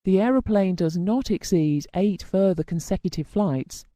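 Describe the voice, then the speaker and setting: A narrator reading a scripted technical lesson in a measured, formal, explanatory way. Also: mid-range voice, warm tone, dry recording. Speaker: instructional narrator.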